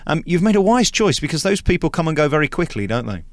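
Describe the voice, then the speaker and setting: A man's voice: on the high side, very animated, quick pace, no room sound. A man hosting a radio interview, asking blunt, opinionated questions. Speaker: radio presenter.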